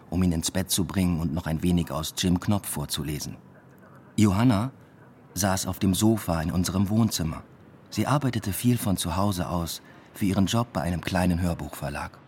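A man's voice: deep voice, moderate pace, a little mellow, slight background noise, close to an everyday voice. An actor playing a weary, ironic male lecturer who narrates his own story in a radio play with dry, reflective understatement. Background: music.

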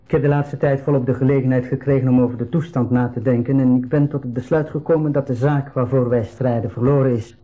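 A man playing a composed rebel leader in a radio drama, earnest, measured and deliberate. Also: mid-range voice, steady tone, dark tone, natural voice, low-quality recording. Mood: composed, resolute. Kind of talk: 1980s school radio drama acting.

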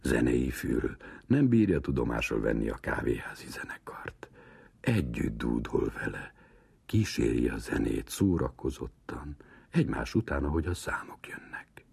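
A very deep-voiced, wry storyteller reads a literary café sketch. The delivery is theatrical and swings widely in pitch. The voice is gravelly and breathy, recorded close and dry. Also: male voice, big pitch swings, no room sound, heavily acted. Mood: wry, hushed. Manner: slow, theatrical, hushed at times. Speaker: actor reading a literary sketch. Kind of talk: dramatic literary reading.

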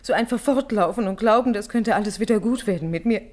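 A woman acting a fearful, guilt-ridden runaway wife in a melodramatic radio play, with a halting, pleading, overwrought delivery. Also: mid-range voice, close to an everyday voice. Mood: bitter self-reproach.

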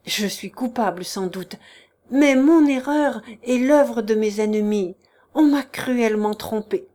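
A woman's voice: fairly high pitch, measured pace, mellow, lightly acted. A woman reading the part of a male swindler who pleads, wheedles and begs for mercy in a classic novel. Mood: pleading. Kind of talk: audiobook character reading.

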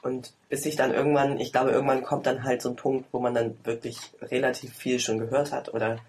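Chatty man in his twenties, mid-pitched and ordinary in tone, talking loosely and self-mockingly at home over tea. He laughs often and rambles between thoughts. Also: flat delivery, fairly quick, darkish tone. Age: 20s. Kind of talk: casual chat.

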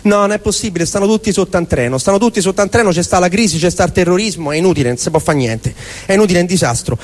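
A man's voice: sits high, rapid-fire. A man with a Roman accent performing a rambling, exasperated comic monologue as if talking to himself. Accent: Roman Italian. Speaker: stage performer. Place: live performance venue. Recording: live venue with long reverberation.

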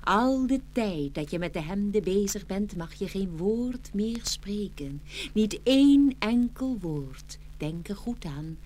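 A woman's voice: medium pitch, animated, a little mellow, a little hiss or hum. A woman playing a solemn fairy giving grave warnings in a fairy-tale radio play. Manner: slow, solemn, deliberate.

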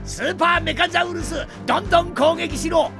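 A gleeful old villain scientist, pitched very high and full of gravelly creak. He gloats and rants in grand, old-fashioned cartoon style, his voice swooping over loud music. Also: brisk. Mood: gloating, commanding. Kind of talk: Japanese audio drama cartoon acting.

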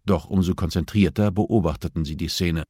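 A man's voice: low-pitched, fairly quick, warm tone, slightly rough, no echo, clean studio sound. A man reading scripted audiobook narration of a thriller.

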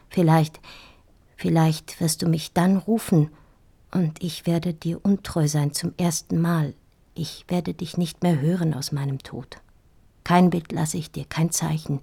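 An actress playing a heartbroken, dying woman in an intimate radio drama monologue, speaking in halting, feverish, anguished whispers with repeated words. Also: low voice, gravelly, mellow, husky, full character voice. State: feverish, weak, grieving. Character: heartbroken dying woman writing a farewell love letter.

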